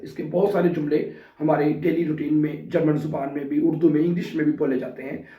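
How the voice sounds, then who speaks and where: A man's voice: fairly high pitch, warm tone. A man with a sore throat teaching a language lesson in a casual way, repeating example sentences word by word. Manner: slow and repetitive, spelling out example sentences word by word. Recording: distant, roomy, dull sound.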